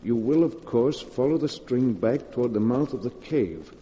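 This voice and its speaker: A man's voice: medium pitch, animated, moderate pace, dark tone, close to an everyday voice. Actor as tense man in echoing vintage radio horror. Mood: grave reading. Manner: urgent, theatrical delivery. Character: tense guilty man trapped in a cave with his lover. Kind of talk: 1940s radio horror drama acting. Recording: muffled vintage radio, long hall reverb.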